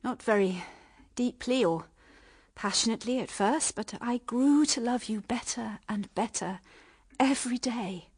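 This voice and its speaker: An actress playing a pleading, proud young British wife in a period radio drama, speaking with emotional, halting delivery. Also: female voice, on the high side, darkish tone, breathy edge. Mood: sad, reproachful. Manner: emotional, halting, stammering under strain.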